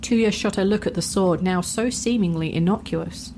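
A woman's voice: mid-range voice, normal speed, dark tone, a little hiss or hum. Woman narrating a fantasy story.

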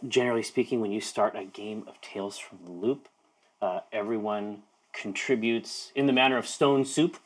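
A man hosting and running a tabletop role-playing game, playful and teasing, breaking into loud excited bursts. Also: mid-range voice. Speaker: game master.

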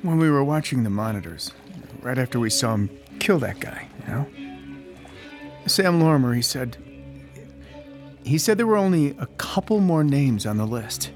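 Guy acting nervy conspiracy nut on the run, mid-pitched, stammery, earnest, music behind. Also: big pitch swings, normal speed, long pauses, lightly acted. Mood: weary. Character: nervy, determined amateur conspiracy investigator on the run.

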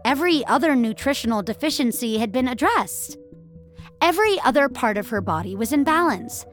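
A woman narrating scripted audio fiction in a measured, expressive storytelling style. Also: fairly high pitch, soft background music.

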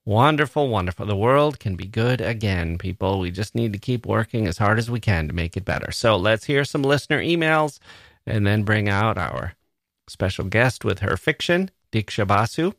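A man's voice: on the low side, animated, normal speed, fairly bright tone, dry recording, studio quality. A man hosting a podcast, speaking to listeners in an earnest, musing way and repeating words for emphasis. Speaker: podcast host. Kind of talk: reflective monologue to listeners.

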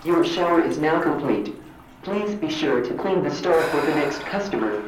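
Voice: mid-range voice, lively intonation, moderate pace, natural voice. A performer voicing an impersonal automated announcement system at a truck stop, in formal, scripted phrasing. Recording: very echoey, distant loudspeaker in noise.

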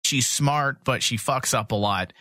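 Male fan podcast host, medium pitch, blunt and sweary, casual opinionated chat, dry sound. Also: a little slow, sharp tone, no room sound.

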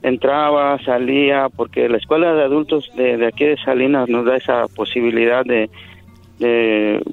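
A man's voice: medium pitch, fairly even intonation, normal speed, creaky, no echo, soft background music. A middle-aged man from Mexico, a native Zapotec speaker, telling his life story as a radio guest, earnest and hesitant, often repeating words. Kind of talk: radio phone interview. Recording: phone line over radio.

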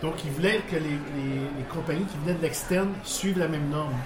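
A man's voice: fairly high pitch, fairly quick. A man with a Quebec French accent, telling work stories in a rambling way with many restarts and chuckles, at a bar in front of a live audience. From Portuguese background, living in Quebec. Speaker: guest. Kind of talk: casual storytelling interview. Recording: echoey bar with background noise.

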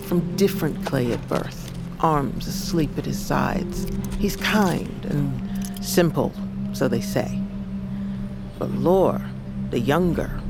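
Low-voiced, solemn old grandmother. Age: older. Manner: solemn, deliberate, commanding. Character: fierce, proud old grandmother, poison master of a fantasy tribe. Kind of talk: audio fiction drama acting. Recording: loud music behind the voice.

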